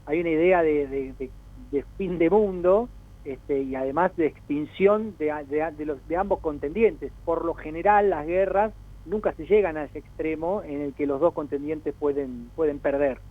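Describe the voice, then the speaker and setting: A man's voice: a little high, normal speed. A man with an Argentine accent giving spontaneous, discursive analysis as an interview guest, often voicing imagined quotes to make his points. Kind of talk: spontaneous explanatory commentary. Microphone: telephone call. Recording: narrow tinny phone line.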